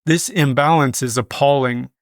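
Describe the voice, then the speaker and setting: A man reading scripted news as a newsreader, in a measured, formal manner. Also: mid-range voice, no echo, clean studio sound. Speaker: news reader.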